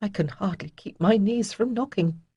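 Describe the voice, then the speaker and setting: A narrator voicing a gentle, seasick noble lady who speaks softly and politely. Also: medium pitch, moderate pace, breathy edge, no echo, lo-fi sound, voice pushed up. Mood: uneasy.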